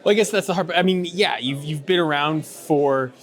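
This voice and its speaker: A man's voice: fairly high pitch, fairly quick, a bit bright. A male news interviewer asking long, rambling questions full of fillers and restarts. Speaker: interviewer for a mining news channel.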